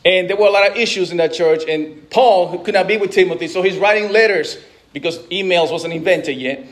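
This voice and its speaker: A middle-aged pastor from the Dominican Republic preaching a sermon in a conversational way, with asides and frequent restarts. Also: male voice, sits high, a little fast.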